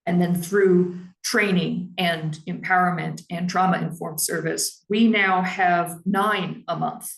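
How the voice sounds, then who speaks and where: Low-voiced woman with vocal fry. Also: roomy sound, poor audio. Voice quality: lower voice. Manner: measured and explanatory, with frequent "um" and "now". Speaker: head of a public library. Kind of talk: conference presentation on staff training.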